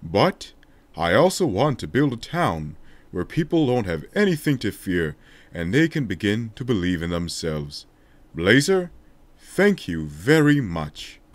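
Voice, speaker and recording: A man's voice: fairly low pitch, very animated, a little slow, no echo. A man voicing a solemn, remorseful king in a declamatory, dramatic way for a video game fan dub. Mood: solemn, reflective.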